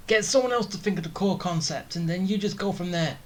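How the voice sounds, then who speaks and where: A game streamer giving casual commentary in a halting, self-deprecating way. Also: medium pitch, slight background noise. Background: game music.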